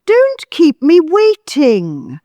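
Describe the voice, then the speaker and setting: An actress playing an imperious older mother-in-law in an audio drama, clipped, sharp and full of disapproval. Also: high voice, huge pitch swings, extremely slow, dry recording, full character voice. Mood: sharp, irritated.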